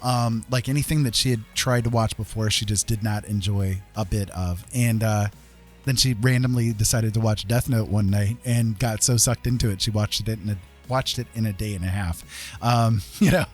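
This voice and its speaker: A man's voice: on the low side, steady tone, moderate pace, faint music behind. A middle-aged man chatting casually as a livestream host, rambling and emphatic, often repeating his phrases.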